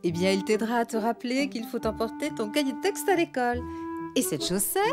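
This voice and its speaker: Reassuring, flustered elephant mum for kids' cartoon, high-pitched, music underneath. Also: female voice, very animated, a little fast. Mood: gentle, helpful. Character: cheerful, flustered mother elephant, reassuring and forgetful. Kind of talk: children's cartoon dubbing. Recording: music behind the voice.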